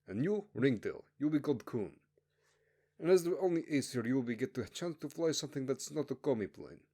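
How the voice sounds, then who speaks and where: A man acts out a hard military base commander for a story reading. He speaks up forcefully, pitched above the narrator's low register, and hands out orders with open contempt. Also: animated, fairly quick. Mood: scornful, commanding. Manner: barking, scornful, commanding.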